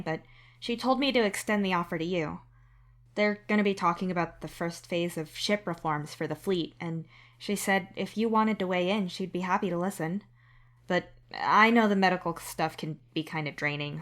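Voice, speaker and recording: Young male alien caretaker played by a woman, casual, expressive, wryly protective audio-story role. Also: on the low side, natural voice.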